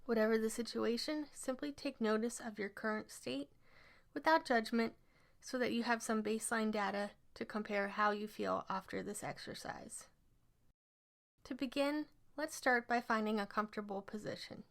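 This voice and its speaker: A woman leading a scripted guided relaxation exercise as an instructor, speaking in measured, instructional phrases. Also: fairly high pitch, creaky.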